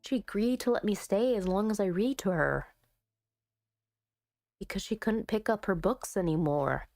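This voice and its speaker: A woman doing let's play commentary on a visual novel, reading it aloud and chatting with expressive, playful asides. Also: mid-range voice, moderate pace, dry recording. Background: soft music.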